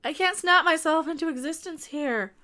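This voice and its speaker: A woman's voice: high-pitched, normal speed, bright, forceful tone. A sniffly woman hosting a casual live stream, rambling bluntly with restarts and asides to her chat.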